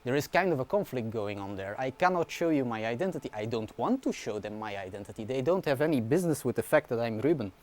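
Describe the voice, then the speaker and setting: A man, a university researcher giving a relaxed conference talk, often checking in with the audience. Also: mid-range voice, quick pace, few pauses. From Belgium. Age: 20s. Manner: casual, informal explaining with frequent "yeah?" and "right?" check-ins; fillers and restarts, light humor.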